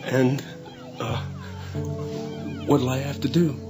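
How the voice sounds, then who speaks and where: A man acting in a radio drama as an anxious father, halting and nervous in his speech. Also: mid-range voice, steady tone, over music, lightly acted. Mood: shaken, resigned. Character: anxious, hesitant father, protective of his son.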